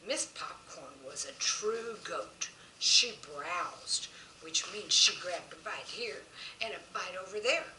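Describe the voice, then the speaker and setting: A woman reading her own children's story aloud with an expressive, folksy storytelling delivery, recorded roomily from a distance. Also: on the low side. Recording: roomy, distant mic with faint noise.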